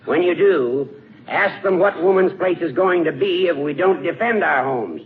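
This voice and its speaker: An actor playing a sardonic, self-righteous old judge with a deliberate, condescending, orating manner, in 1940s radio comedy. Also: male voice, a little high, expressive, crowd noise. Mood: lofty needling. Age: older. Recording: noisy, tinny 1940s radio broadcast.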